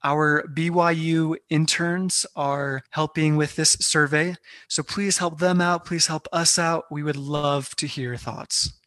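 A man's voice: fairly high pitch, fairly even intonation, unhurried, fairly bright tone, dry recording. A man co-hosting a podcast, reading announcements and introducing guests in an upbeat, polite way. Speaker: podcast co-host.

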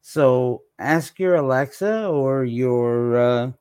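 Slow-talking man hosting a podcast. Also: medium pitch, darkish tone, clear, resonant tone, no room sound, clean studio sound. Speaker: podcast host. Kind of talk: casual podcast announcements.

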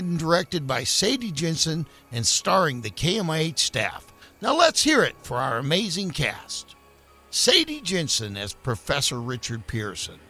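A man's voice: a little high, bright, forceful tone. A man reading a scripted radio announcement and credits as the announcer.

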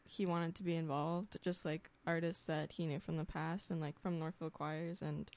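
A woman's voice: sits low, level tone, moderate pace, darkish tone. A young woman musician being interviewed on the radio, speaking hesitantly with many fillers. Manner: hesitant, many ums and likes. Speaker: guest musician. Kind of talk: casual interview. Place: radio studio.

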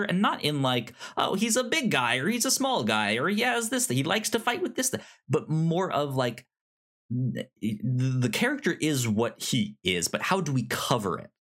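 A man chatting casually as a podcast co-host, halting and often repeating words as he works out his thought. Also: medium pitch, very animated, a bit bright. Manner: halting, stammering, repeating words and syllables as he goes. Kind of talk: casual film discussion chat.

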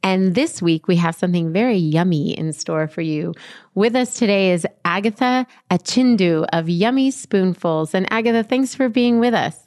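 A woman's voice: mid-range voice, a little mellow, dry recording, clean studio sound. Upbeat woman hosting a podcast. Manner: upbeat, encouraging, quick affirmations. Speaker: podcast host. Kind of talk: interview hosting.

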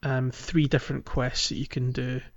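Guy on a gaming podcast explaining stuff casually, kinda hesitant, average pitch, dull lo-fi audio. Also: flat delivery, normal speed. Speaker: podcast co-host. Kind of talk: casual video game chat, explaining quests. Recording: dull, lo-fi sound.

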